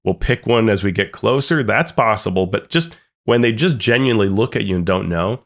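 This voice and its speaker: A man's voice: lowish voice, expressive, fairly quick, fairly bright tone. A man co-hosting a podcast, talking earnestly and critically with frequent restarts. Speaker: podcast co-host. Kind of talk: casual discussion.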